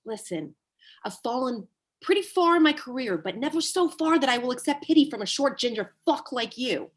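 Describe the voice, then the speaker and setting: Woman as blunt Hollywood hustler, high voice, profane, brash, comedy table read. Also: lively intonation. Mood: brash, indignant. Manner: blunt, profane, halting when cornered. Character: brash, foul-mouthed, fallen male Hollywood agent Ari.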